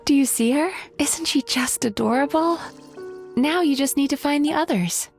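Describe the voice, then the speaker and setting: An actress playing a gentle, playful female psychologist in a video game, speaking in a soothing, coaxing, doting way. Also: high voice, moderate pace, soft background music. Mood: doting, teasing.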